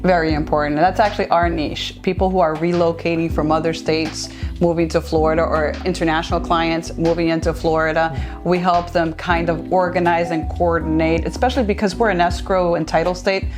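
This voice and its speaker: A woman's voice: lowish voice, little pitch movement, fairly quick, hardly any pauses, music in the background. A woman from New Jersey hosting a real estate interview, upbeat and emphatic, with rising greetings. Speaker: podcast host.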